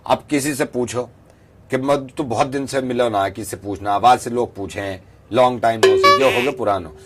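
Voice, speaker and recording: A man acts out a brash, folksy professor for a radio prank, speaking at a middling pitch in a rural western Uttar Pradesh accent. He rambles and repeats himself, utterly deadpan and certain of his expertise. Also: moderate pace, soft background music, natural voice. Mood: instructing. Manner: rambling, repetitive, bluntly folksy. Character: male fake foreign-trained professor, rustic, brash, self-assured. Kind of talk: deadpan prank-call character.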